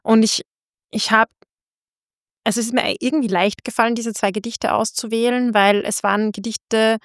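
A woman's voice: a little high, fairly even intonation, normal speed, dry recording, clean studio sound. A woman talking casually about literature as a podcast host.

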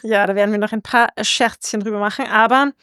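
A woman's voice: medium pitch, fairly even intonation, brisk, a bit bright, clean tone, no room sound, clean studio sound. A woman talking casually about literature as a podcast host.

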